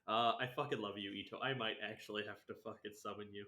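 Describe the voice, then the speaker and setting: Bright-voiced young man streaming in echoey room. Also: lowish voice, steady tone, fast. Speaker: game streamer. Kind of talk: casual livestream commentary with swearing. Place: indoor room.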